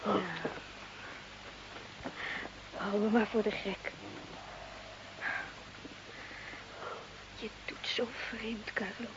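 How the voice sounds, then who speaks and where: An actress playing a jealous, anxious village wife in a radio drama, plaintive and reproachful. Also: female voice, mid-range voice, level tone, moderate pace, natural voice. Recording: dull, noisy old radio recording.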